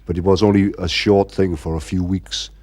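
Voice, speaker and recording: A man's voice: deep voice, flat delivery, moderate pace, a little mellow. A middle-aged man from Ireland, the band's frontman, talking in a casual interview and rambling with constant "you know" fillers. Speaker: band frontman.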